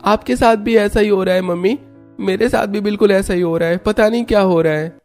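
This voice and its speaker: A woman's voice: mid-range voice, fairly quick, darkish tone, faint music behind, natural voice. A woman voicing an earnest, caring young married son in a family cartoon. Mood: puzzled. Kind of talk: acted cartoon story dialogue.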